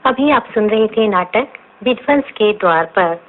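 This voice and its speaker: A woman's voice: medium pitch, animated, normal speed. A woman working as a radio station announcer, reading a formal scripted broadcast announcement. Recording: tinny, band-limited radio recording.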